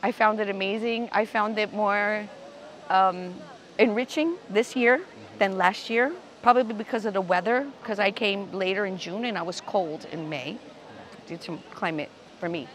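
60s woman from puerto rico, mid-pitch, friendly, lots of ums, outdoors. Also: moderate pace, a bit bright. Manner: hesitant, friendly, many fillers. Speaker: pilgrim interviewee. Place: outdoor town square. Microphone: lapel.

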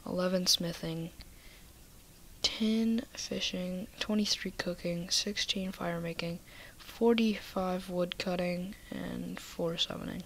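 Woman gamer, stuffy with a cold, low and slow, half-whispering item lists into a desk mic. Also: fairly even intonation, slight background noise, poor audio. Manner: soft, half-whispered listing. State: slightly nasal from a cold. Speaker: gaming video maker. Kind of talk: casual game walkthrough.

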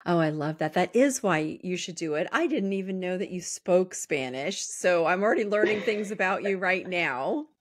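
A woman's voice: lowish voice, lively intonation, no echo, clean studio sound. A woman hosting a podcast interview, bubbly and playful in casual chat. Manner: bubbly, playful, quick bursts of enthusiasm. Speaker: podcast host.

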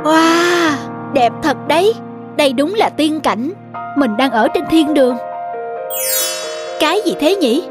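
A woman voicing an excitable, honest farmer in an animated folk-tale cartoon, exclamatory and indignant. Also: sits high, takes it slowly, pauses often, over music. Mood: amazed. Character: excitable wronged sugarcane farmer, simple honest man.

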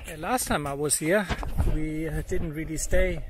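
A man in his forties talking casually and slowly on a tidal mudflat, filmed on a handheld camera. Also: a little high. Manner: slow, easygoing, jokey. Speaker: companion on the trip. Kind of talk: casual outdoor chat. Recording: noisy outdoor sound, wind and wildlife.

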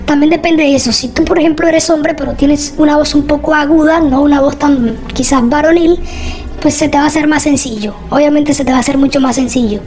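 A man's voice: very high voice, fairly even intonation, a little fast, a little mellow, voice pushed up. A man speaking as a young woman through a real-time voice changer, chatting through a casual tutorial. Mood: casual, explanatory. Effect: real-time male-to-female voice changer. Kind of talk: casual software tutorial voice-over.

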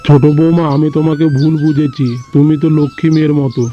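A man's voice: a little high, little pitch movement, fast talker, close to an everyday voice. A man voicing an elderly, moralizing father-in-law in a cartoon moral story. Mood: humbled, gentle. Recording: dull lo-fi audio, faint music behind.

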